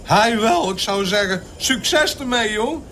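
An actor playing an excitable, coarse airline passenger who scoffs and exclaims in a radio play. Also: male voice, high voice, unhurried, a little hiss or hum. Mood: scoffing. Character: shrill, excitable, mocking male airline passenger, coarse chum.

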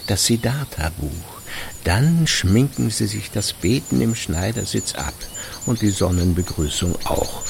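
An actor playing a sardonic, brooding older husband, musing in a literary radio play monologue. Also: male voice, lowish voice, vocal fry, slight background noise, exaggerated voice.

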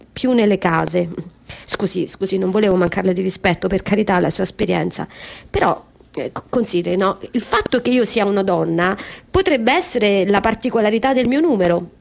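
A woman's voice: mid-range voice, gravelly, natural voice. An actress playing an eager, apologetic woman performer in a radio drama, speaking in a hesitant, deferential, conversational way. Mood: tentative objection.

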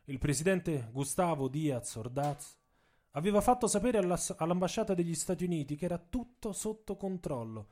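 A man with a somewhat high-set voice tells a scripted story for radio theatre. His delivery is theatrical and intense, that of a dramatic narrator. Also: darkish tone.

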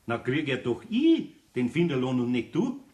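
Older Bavarian craftsman played by a man, animated, homely and persuasive with dry teasing, roomy radio play sound. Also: medium pitch, normal speed, lightly acted. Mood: dryly teasing. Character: older male craftsman, patient, dryly teasing. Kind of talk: German children's radio play acting, naturalistic dialect.

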